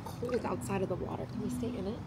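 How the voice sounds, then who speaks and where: A woman's voice: mid-range voice, fast talker, creaky. An American woman in her twenties, chatting casually. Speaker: vlogger's wife. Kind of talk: casual chat. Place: outdoors in a backyard hot tub. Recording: loud water and outdoor noise.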